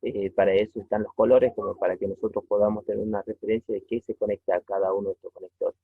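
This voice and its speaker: A man teaching a technology lesson informally, explaining step by step in a halting way with short checking questions. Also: lowish voice, steady tone, gravelly, slightly rough, no room sound. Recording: tinny phone-like narrow-band audio.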